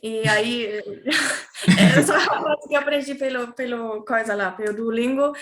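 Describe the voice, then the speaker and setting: A woman talks casually as a guest, speaking a language she learned later. Her voice sits a little high, and she often stops to search for words and fills the gaps. The room around her sounds echoey. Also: unhurried, a crowd in the background. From Germany. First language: German. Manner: hesitant, searching for words, many fillers. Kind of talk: casual interview chat.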